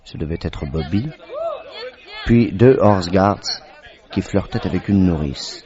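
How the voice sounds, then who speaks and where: An actor playing a loyal companion who tells the tale vividly in a French radio drama and is easily exasperated. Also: male voice, sits low, expressive, takes it slowly. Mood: measured narration. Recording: dull old radio recording, crowd murmur behind.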